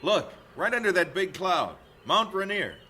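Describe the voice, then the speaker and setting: A man's voice: sits high, sing-song, a little slow, sharp tone, exaggerated voice. A man with an American accent playing an earnest missionary husband and father in an old radio drama. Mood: cheerful.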